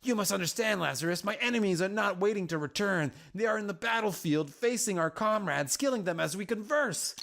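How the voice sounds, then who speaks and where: A man's voice: high-pitched, expressive, brisk, gravelly, few pauses, a bit bright, full character voice. A man voicing a troubled, defiant warrior woman in a story, earnest and questioning in an agitated manner. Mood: overwhelmed, urgent. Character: Arya, troubled defiant young female warrior burdened by war.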